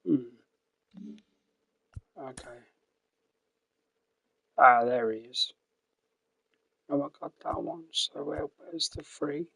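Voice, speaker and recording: A man streaming a video game, talking casually in a croaky early-morning voice, quiet and halting, often dropping to a whisper. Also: medium pitch, rough recording. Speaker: gaming streamer. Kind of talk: casual live commentary over gameplay.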